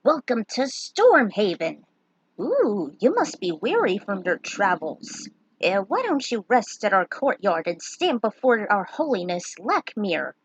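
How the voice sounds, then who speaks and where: A woman's voice: medium pitch, sing-song, measured pace, husky, no room sound, exaggerated voice, poor audio. A woman voicing a joyful, theatrical court jester welcoming travelers in a fantasy story.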